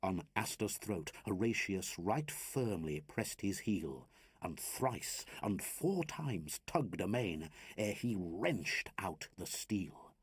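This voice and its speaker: A man performs narrative verse with theatrical, declamatory weight. His husky voice moves at a very measured pace and swings widely in pitch. The sound is close and dry. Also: sing-song, no room sound. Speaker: reader of narrative poetry. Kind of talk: dramatic recited narrative poem.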